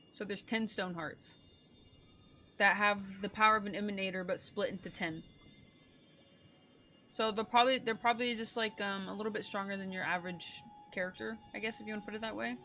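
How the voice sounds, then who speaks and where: A woman streamer with a bright, forceful voice comments casually on a game she is playing. She is excitable and slangy and swears freely. Faint music plays behind her. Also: mid-range voice.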